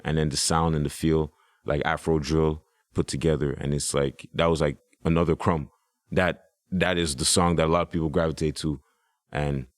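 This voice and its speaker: A man's voice: extremely low pitch, dry recording, clean studio sound. A man, a hip hop artist, talking casually as a podcast guest, rambling with restarts and "you know".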